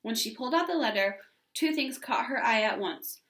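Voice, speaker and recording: A woman's voice: a little high, expressive, moderate pace, fairly bright tone. A woman teacher reading a children's storybook aloud and talking to her class. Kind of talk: storybook narration. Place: indoors at home. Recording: echoey room.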